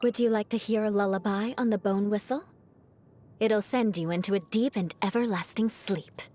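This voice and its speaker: A woman's voice: medium pitch, a little mellow, lightly acted. A woman voicing a proud young aristocratic knight in a video game, with a haughty, formal, teasing manner and a vengeful streak that hides a caring side. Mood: playful menace. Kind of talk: scripted video game character voice lines.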